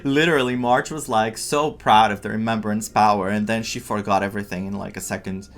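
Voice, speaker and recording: A man's voice: on the low side, steady tone, brisk, a bit bright. A man giving casual playthrough commentary as a game streamer, sometimes reading dialogue aloud. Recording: game music faintly behind.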